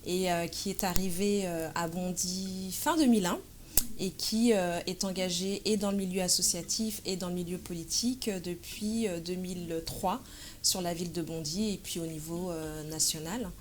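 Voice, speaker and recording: A woman of about forty from France, answering interview questions in a measured, hesitant way with frequent pauses and fillers. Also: lowish voice, steady tone, slight background noise. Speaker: interview guest, association president.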